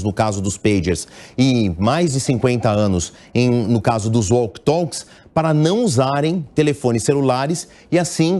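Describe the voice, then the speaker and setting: A middle-aged Brazilian man speaks as an international news editor, laying out an analysis in a measured, explanatory way. His voice sits a little higher than most men's, and he pauses to restart phrases as he thinks. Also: a little mellow. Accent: Brazilian Portuguese.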